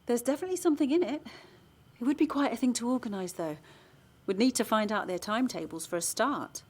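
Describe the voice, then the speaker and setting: A woman playing a determined, organised small-town campaigner in an audio drama, with an articulate, measured delivery. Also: fairly high pitch, a little mellow, lightly acted. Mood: keen, plotting. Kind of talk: naturalistic audio drama, dialogue and narration.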